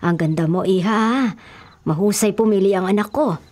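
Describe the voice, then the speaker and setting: A Filipino woman acting a doting, affectionate mother in a radio drama, with an expressive delivery. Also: mid-range voice, normal speed, natural voice. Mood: doting, delighted. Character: doting, warm Filipino mother eager for grandchildren. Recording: faint music behind the voice.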